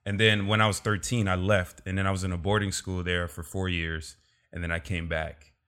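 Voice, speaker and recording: Chill podcast host guy, kinda low voice, talks to guests over a call, lots of "man". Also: level tone, brisk, fairly bright tone. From Los Angeles. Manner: laid-back, says "man" a lot, laughs often. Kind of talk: casual video-call interview. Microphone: remote call.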